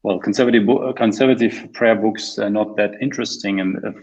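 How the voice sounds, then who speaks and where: A man answering a question on an online panel, measured with frequent uhs. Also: fairly low pitch, fairly even intonation, a little mellow. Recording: dull lo-fi audio.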